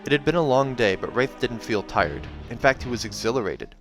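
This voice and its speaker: A man's voice: mid-range voice, expressive, brisk, fairly bright tone. A man reading a fantasy novel aloud as dramatic scripted narration. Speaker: audiobook reader. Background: background music.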